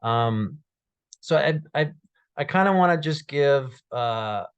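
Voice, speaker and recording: Guy in his 30s who stutters, lots of um and uh, talks slow, dark little room on a webcam. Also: medium pitch, expressive, clear, resonant tone. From Minnesota. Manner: stutters with repeated sounds and blocks, many fillers. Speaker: guest speaker. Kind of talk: personal story told to camera. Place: small dark room indoors.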